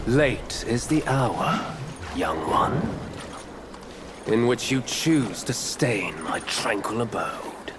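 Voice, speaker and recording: An actor playing a solemn old hermit sage who speaks in archaic riddles in a game cutscene. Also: male voice, mid-range voice, lively intonation, slow pace. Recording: game cutscene with clear background noise.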